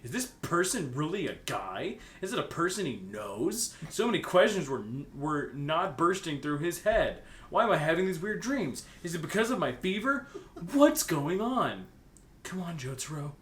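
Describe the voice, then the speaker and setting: A man's voice: on the high side, normal speed. A man co-hosting a comic fan fiction reading, moving between reading aloud and joking casual banter. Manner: animated, joking, often breaking into laughter. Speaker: co-host and reader. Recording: roomy sound with faint noise.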